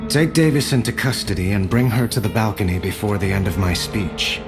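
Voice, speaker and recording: Guy playing earnest commanding emperor in a game cutscene, measured, music loud behind. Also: on the low side, lightly acted. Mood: grave, urgent. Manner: measured, authoritative. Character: resolute young male emperor, commanding and earnest. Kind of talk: video game cutscene acting.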